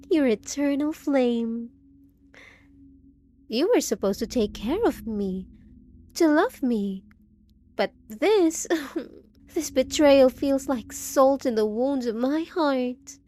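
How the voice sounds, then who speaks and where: A woman's voice: sits high, expressive, slow pace, long pauses, dark tone, a little hiss or hum. A woman voicing a possessive, unhinged undead bride in a roleplay audio drama, speaking with sweet pet names, mock pity and clingy menace. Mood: hurt, betrayed.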